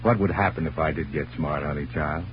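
A man's voice: a bass voice, fairly quick. An actor playing a cocky, flippant American newspaperman who talks in wordy wisecracks, in 1940s radio crime drama style. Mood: sardonic, flirting. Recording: muffled, noisy old radio recording with hum.

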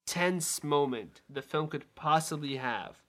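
Forceful, bright-voiced man debating films. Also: on the high side, measured pace. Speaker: podcast co-host. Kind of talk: casual film discussion.

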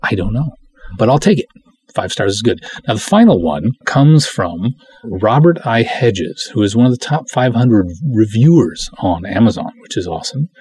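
A man with a husky, somewhat low voice hosts a podcast on his own. He chats casually and rambles a little, self-deprecating, slipping between reading aloud and offhand commentary. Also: big pitch swings, normal speed, a little mellow, rough recording. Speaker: podcast host.

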